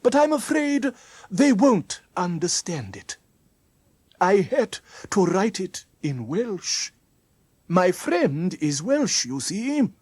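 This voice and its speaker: A narrator voicing a genial but scheming gentleman in a children's story, coaxing and condescending with endearments. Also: medium pitch, big pitch swings, slow pace, slightly rough, dry recording, pitched above the performer's own voice, full character voice. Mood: reassuring, coaxing. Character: genial, smooth-talking gentleman, patronising and scheming.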